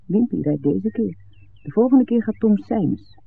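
An actor playing a frank young villager from a smuggling family, talking informally in a 1960s radio play. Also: male voice, high-pitched, expressive, moderate pace, creaky. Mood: matter-of-fact. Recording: tinny old radio recording with noise.

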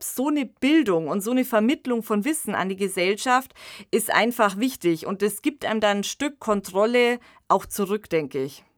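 A woman's voice: mid-range voice, a bit bright, dry recording, studio quality. A woman co-hosting a podcast, explaining her thoughts in a reflective, conversational way. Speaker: podcast co-host.

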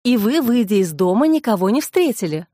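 A composed female investigator in a crime audiobook, read by a woman narrator. She speaks in a rather high voice, polite but pointed and sure of herself. The sound is dry. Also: big pitch swings, a little fast, dark tone, dry recording. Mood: probing.

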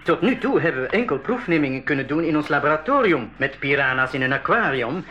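A man's voice: a little high, big pitch swings, quick pace, pushed and bright, muffled recording, slight background noise. A man playing an earnest, proud scientist in a school radio drama, explaining things articulately. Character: earnest, proud male scientist leading jungle expedition.

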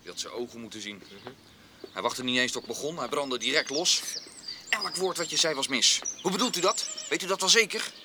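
A man's voice: fairly high pitch, brisk, background noise, natural voice. A male actor playing a shameless, cheeky petty thief in a radio drama, telling his tale in an offhand way. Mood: wry recounting. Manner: offhand, storytelling, mimics others. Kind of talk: Dutch radio drama acting.

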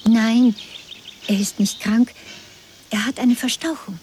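A woman's voice: fairly high pitch, steady tone, slow pace, a little mellow, a little hiss or hum. An actress playing a polite, helpful woman welcoming a guest in a radio drama, courteous and reassuring.

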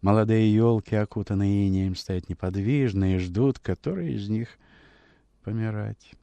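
A man narrating a classic story for radio, with expressive, measured, dramatic storytelling. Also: lowish voice, vocal fry, no echo.

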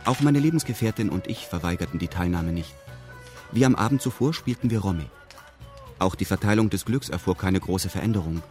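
A man's voice: lowish voice, darkish tone, lightly acted. A man narrating a radio drama in the first person, in a formal, detached and meticulous manner. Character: detached man recounting events with pedantic exactness.

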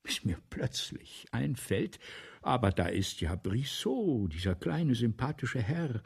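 Casting: vain, rambling writer in radio drama, very hoarse-edged and creaky, theatrical, dropping into whispers. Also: male voice, medium pitch, very animated, full character voice. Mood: musing, excited. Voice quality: husky, gravelly, often breaking into breathy whisper. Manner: declamatory, halting, self-correcting, repeating phrases. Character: obsessive, vain male writer Balzac, rambling and forgetful. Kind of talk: theatrical German radio play monologue.

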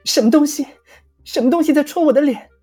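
A woman's voice: high voice, fast talker, dark tone, soft background music, pitched above the performer's own voice, natural voice. A woman voicing a flustered, indignant little game character in an audio drama, exclaiming and muttering complaints. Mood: frightened, baffled.

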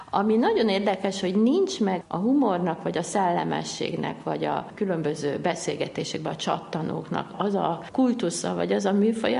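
Middle-aged hungarian lady on radio, gravelly lowish voice, lots of umms and restarts. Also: darkish tone. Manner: explanatory, with frequent hesitations and restarts. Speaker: linguist guest. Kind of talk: radio interview.

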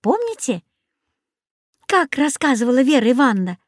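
Woman as an eager little boy, high and excitable. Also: normal speed, dry recording, clean studio sound. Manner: excitable, calls out loudly.

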